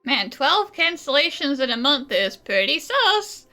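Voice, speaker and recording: A woman streams a game with casual, sarcastic commentary. Her voice is high and bright, and it swings freely in pitch. She talks in an unhurried, slangy way. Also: sing-song, soft background music. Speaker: gaming streamer.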